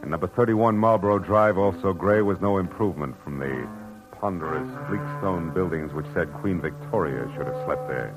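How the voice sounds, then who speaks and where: Deep-voiced hard-boiled radio detective played by a man. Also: moderate pace, lightly acted, low-quality recording. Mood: dry narrating. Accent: American. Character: hard-boiled male private detective, dry and world-weary. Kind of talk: 1940s radio acting, noir narration. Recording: old radio recording with background music.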